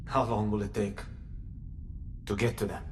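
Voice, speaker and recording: A man's voice: fairly low pitch, flat delivery, moderate pace, lightly acted. An actor playing a guarded, grim older father held captive in a video game cutscene. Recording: very noisy, with clicks.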